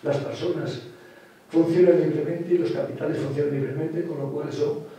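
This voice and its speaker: A man's voice: a little high, fairly even intonation, normal speed, mellow. A middle-aged man from Spain giving a university lecture, explaining step by step with tag questions and restarts. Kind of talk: academic lecture. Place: lecture room, standing beside a projection screen. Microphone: far room camera. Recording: echoey room from far off.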